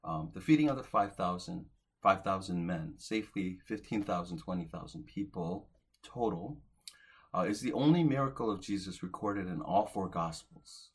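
A man in his forties preaching, his voice low and husky. He speaks earnestly, rising to emphatic peaks and then falling soft and quiet at the ends of his phrases. The delivery is a pastor's steady sermon. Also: moderate pace. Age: 40s.

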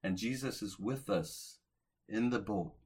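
A man in his forties preaching a sermon as a pastor, earnest, lifting to emphatic peaks and then dropping soft at the ends of phrases. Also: fairly low pitch, measured pace, slightly rough. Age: 40s.

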